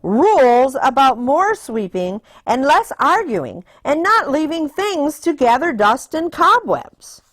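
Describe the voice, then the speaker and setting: A woman's voice: high voice, big pitch swings, slow, few pauses, fairly bright tone, no room sound, full character voice. A woman voicing a strict, tidy mother bear from a storybook, speaking firmly and emphatically. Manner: emphatic, firm.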